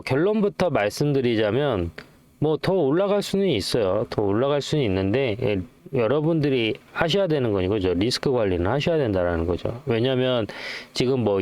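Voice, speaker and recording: A man's voice: mid-range voice, a little mellow. A middle-aged man hosting a stock trading channel, explaining charts informally and often putting rhetorical questions to his viewers.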